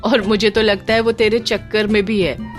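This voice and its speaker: A woman's voice: mid-range voice, little pitch movement, moderate pace, soft background music, pitched above the performer's own voice, lightly acted. A woman voicing a teasing, scheming young man with a wry manner in an audio drama. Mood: teasing, amused. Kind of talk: Hindi audio drama character voice.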